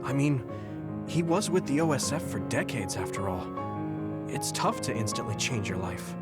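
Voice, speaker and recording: An actor playing an earnest, kind young soldier in a video game, with a gentle and friendly way of talking. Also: male voice, medium pitch, big pitch swings, moderate pace, close to an everyday voice. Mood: concerned. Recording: background music over dialogue.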